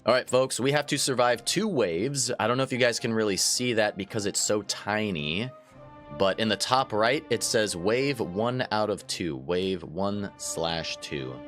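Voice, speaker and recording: A man's voice: fairly low pitch, expressive, unhurried, fairly bright tone. Chatty man with a stuffy nose. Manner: casual, chatty, addresses viewers as guys. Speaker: gaming channel host. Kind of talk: let's play commentary. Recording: faint game music behind.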